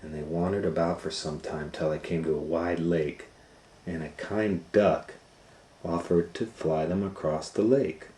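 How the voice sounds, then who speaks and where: A man reading a children's storybook aloud as host, with expressive, drawn-out storytelling. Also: mid-range voice. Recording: roomy, echoing indoor sound.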